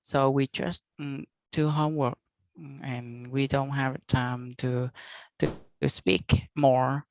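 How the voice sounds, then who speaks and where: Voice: mid-range voice, little pitch movement, takes it slowly, rough recording. A student answering in class on a video call, hesitant, with broken English and many fillers.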